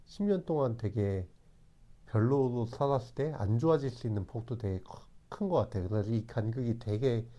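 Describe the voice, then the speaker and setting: A man in his forties talking in a calm, reflective way, often pausing and restarting his sentences. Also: medium pitch. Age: 40s. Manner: calm, hesitant, with many restarts. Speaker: channel host. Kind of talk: reflective talk to camera.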